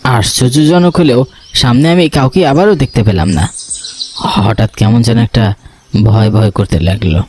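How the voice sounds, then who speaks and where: Man as nervous young horror storyteller, medium pitch. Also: unhurried, darkish tone, slightly rough. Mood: uneasy, suspenseful. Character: nervous, curious young male photographer telling his ghost story. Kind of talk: first-person horror cartoon narration.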